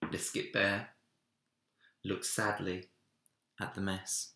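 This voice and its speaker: A man reading a children's story aloud in an expressive narrating style, recorded in an echoey room. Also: low voice, slow pace. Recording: roomy, echoey indoor sound.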